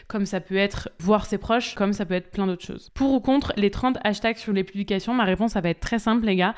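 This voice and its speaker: A young woman speaks in a smooth, clear voice at a middle pitch. She gives spontaneous, direct answers on a casual solo podcast and fills her talk with hesitations. She addresses listeners like a business coach. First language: French. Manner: chatty, direct, many fillers, talks straight to listeners. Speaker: podcast host, social media business coach.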